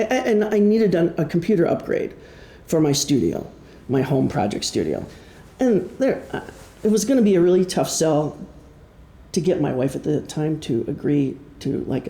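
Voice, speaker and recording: Guy doing an informal author talk, soft dark tone, kinda wry and self-deprecating, roomy echo. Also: fairly high pitch. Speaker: author giving a presentation. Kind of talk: informal prepared talk to an audience. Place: indoor room, live presentation. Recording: echoey room.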